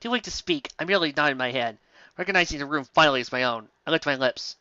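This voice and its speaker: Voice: mid-range voice, fast talker, pushed and bright, smooth, dry recording, pitched below the performer's own voice, natural voice. A reader playing a melodramatic, self-important young heroine narrating a story with solemn, overwrought delivery, slightly lo-fi. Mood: weak, dreamy.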